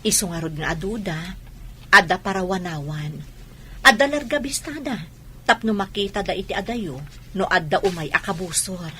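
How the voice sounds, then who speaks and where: Woman acting a bright-voiced, patient mother. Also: fairly low pitch, animated, normal speed, slight background noise. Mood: reassuring. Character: hurt but patient wife and mother. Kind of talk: radio drama acting.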